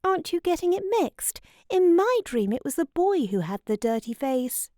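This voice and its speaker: A woman's voice: sits high, sing-song, moderate pace, dark tone, dry recording, clean studio sound, voice pushed up. A woman voicing a dreamy but sensible young girl in a children's storybook, earnest and questioning. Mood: curious.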